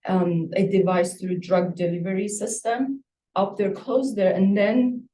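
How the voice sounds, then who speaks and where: A woman's voice: medium pitch, fairly even intonation, measured pace, dark tone, a little hiss or hum. A woman instructor giving spoken feedback to a student, probing with follow-up questions. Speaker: university instructor reviewing a student project. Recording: echoey room, distant microphone.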